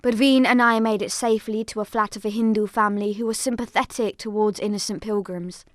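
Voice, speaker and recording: A woman narrator giving a scripted first-person dramatic account in a solemn, measured storytelling manner. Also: mid-range voice, fairly even intonation, dry recording, clean studio sound.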